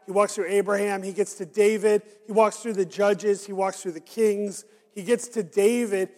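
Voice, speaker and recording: A man preaching a sermon as pastor, his voice raspy and hoarse from a raw throat, talking conversationally with frequent fillers. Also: high-pitched, darkish tone. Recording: long hall reverb.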